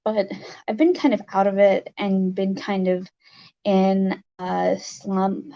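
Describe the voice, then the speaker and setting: A livestream host with a raspy voice, chatting casually and haltingly with viewers, with many fillers. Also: medium pitch, steady tone, dull audio.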